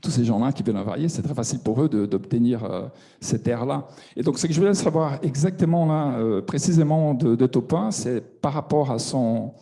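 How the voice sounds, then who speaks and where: Middle-aged man, very dark tone, halting and full of restarts, mid pitch. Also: creaky. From Brazil. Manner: hesitant, many restarts and fillers. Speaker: audience member asking a question. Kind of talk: spontaneous question from the floor.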